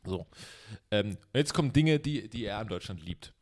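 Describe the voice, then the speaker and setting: A man's voice: fairly low pitch, animated, normal speed, darkish tone. Joking rambling man on a podcast. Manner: rambling, joking, many fillers. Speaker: podcast co-host. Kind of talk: casual chat.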